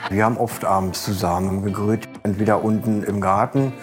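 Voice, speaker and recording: A man's voice: fairly low pitch, fairly even intonation, fairly quick, loud music behind the voice. A man giving a recollection in a documentary interview as a witness. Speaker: interviewee, neighbour of the victim.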